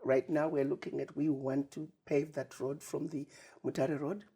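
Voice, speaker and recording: A woman's voice: low-pitched, little pitch movement, normal speed, mellow, slightly rough. A woman from Zimbabwe, an ambassador speaking as a guest in an interview, telling her story with emphasis. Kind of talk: conversational interview.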